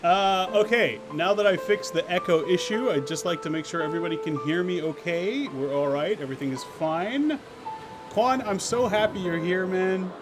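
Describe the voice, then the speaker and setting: A man's voice: high-pitched, normal speed, music in the background. A man hosting a casual game stream, chatting playfully with viewers and often interrupting himself. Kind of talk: casual live stream chat. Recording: clearly noisy background.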